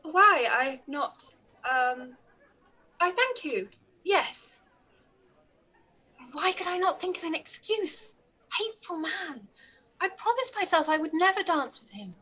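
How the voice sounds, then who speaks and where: An actress playing a witty, proud young gentlewoman in a period radio-play reading, with arch, pointed, formal delivery. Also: extremely high pitch, animated, slow, long pauses, heavily acted. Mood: flustered, vexed.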